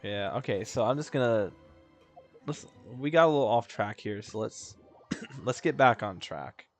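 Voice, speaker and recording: A man's voice: mid-range voice, lively intonation, measured pace. A man streaming a video game, chatting casually and reading dialogue aloud with mumbly asides and stumbles. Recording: background game music.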